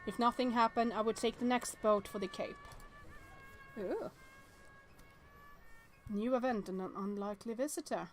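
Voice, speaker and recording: A woman's voice: a little high, moderate pace, pauses often, faint music behind. A woman reading visual novel text aloud and adding chatty reactions as she plays. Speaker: let's-play streamer.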